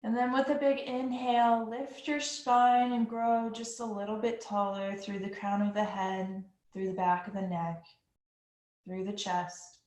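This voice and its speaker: Woman, yoga instructor, calm soothing guidance, very slow delivery, echoey room. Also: mid-range voice. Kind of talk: guided yoga breathing instruction.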